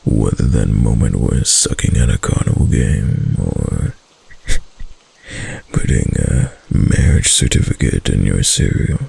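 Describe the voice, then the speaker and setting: Possessive, lovestruck male kitsune husband played by a man for romantic ASMR: extremely low, husky murmur, tender and unhurried. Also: heavily acted. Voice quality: deep, gravelly, husky, often breaking into whisper. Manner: slow, soft, adoring murmur with lingering pauses. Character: doting, possessive yandere kitsune husband, deep-voiced and tender. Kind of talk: intimate romantic ASMR roleplay.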